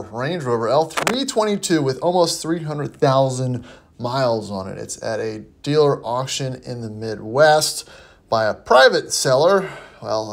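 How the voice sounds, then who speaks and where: A man hosting a car review video, talking casually and off the cuff as he thinks aloud. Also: on the high side. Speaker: host of a car auction review channel. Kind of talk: casual unscripted commentary.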